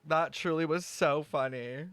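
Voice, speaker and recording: A young man giving casual, gossipy, sweary reaction commentary as a game streamer, very expressive, on a close mic. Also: fairly high pitch, unhurried, smooth, no echo.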